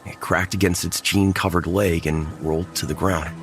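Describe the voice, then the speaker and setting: A man's voice: low voice, fairly even intonation, normal speed. A man narrating a tense first-person horror story. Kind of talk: horror audio drama narration. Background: music.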